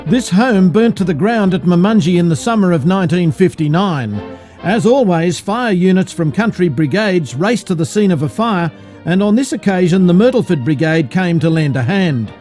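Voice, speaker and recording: Newsreel narrator, male, Australian accent, fairly high mellow voice, scripted delivery over music. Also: lively intonation, moderate pace. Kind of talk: scripted narration in old cinema newsreel format.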